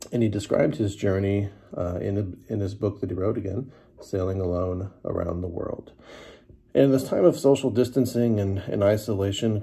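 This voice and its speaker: A man giving a relaxed, casual history lesson to the camera, with many fillers, unhurried. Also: fairly low pitch, vocal fry, husky. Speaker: teacher.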